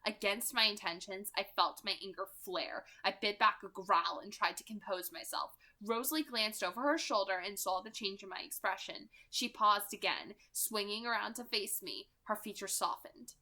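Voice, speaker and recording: A woman reading a novel aloud as an expressive amateur narrator. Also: medium pitch, normal speed, few pauses. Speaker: amateur audiobook reader.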